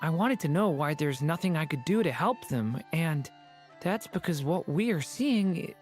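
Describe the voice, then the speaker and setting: Earnest, expressive young boy. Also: deep voice, moderate pace, soft background music. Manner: hesitant, sincere, polite. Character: earnest, gentle young boy, polite and anxious. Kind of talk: video game character acting.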